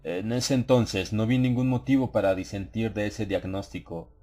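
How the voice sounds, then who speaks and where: Male audiobook narrator, low voice, solemn, measured, dramatized horror storytelling. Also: fairly even intonation, dark tone, soft background music.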